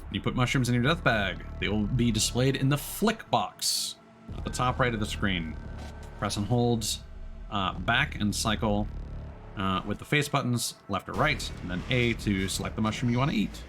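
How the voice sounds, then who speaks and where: A man streaming a video game, reading instructions aloud carefully and adding relaxed asides. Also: lowish voice, moderate pace. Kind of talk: let's play commentary, reading on-screen text aloud. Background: game music.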